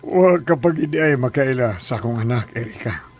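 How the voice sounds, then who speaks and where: A man's voice: medium pitch, animated, a little fast, a little mellow, close to an everyday voice. A man acting in a Philippine radio drama as an older father-in-law, questioning insistently in a Filipino accent and standing up for his son. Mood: firm, reproving.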